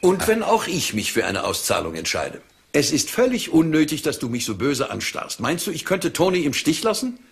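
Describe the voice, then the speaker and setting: An actor playing a haughty, sardonic older uncle in a German crime drama, with a creaky voice and precise, condescending manner. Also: male voice, a little high, big pitch swings, normal speed. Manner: precise, condescending, dryly needling. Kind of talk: German dubbed TV crime drama as radio play.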